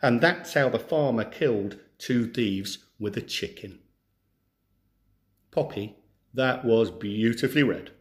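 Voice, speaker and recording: A man reading a children's story aloud in an expressive, theatrical way. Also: medium pitch, takes it slowly, pauses often. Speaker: storyteller, teacher.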